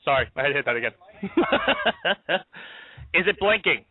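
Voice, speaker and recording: A man's voice: mid-range voice, lively intonation, moderate pace. A man hosting a live-streamed comedy talk show outdoors, joking and laughing as he rambles through the news. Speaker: host. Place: outdoors in a backyard. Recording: tinny phone-like dry sound.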